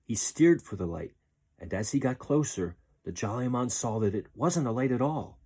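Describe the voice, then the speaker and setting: A man reading a children's story aloud as a storyteller, expressive and deliberate. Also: mid-range voice, husky.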